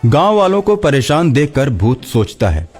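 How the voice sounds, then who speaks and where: A man tells a scripted moral tale as its narrator. His mid-pitched voice moves up and down in a very lively way. Faint music runs behind the narration. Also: moderate pace, husky. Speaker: storyteller.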